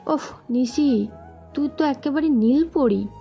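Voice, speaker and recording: An actress playing a lively young woman in an audio love story, chatty and coaxing with her close companion. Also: high voice, slow pace, vocal fry, highs cut off, full character voice. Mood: eager, admiring. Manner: chatty, persuasive. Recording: background music under the voice.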